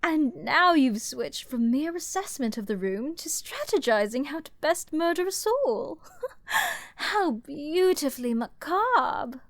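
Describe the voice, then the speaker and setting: A woman's voice: high voice, big pitch swings, takes it slowly, no echo, full character voice. A woman playing an arrogant, flirty, dominant princess in a dramatic fantasy audio roleplay, with theatrical aristocratic phrasing. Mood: delighted, smug.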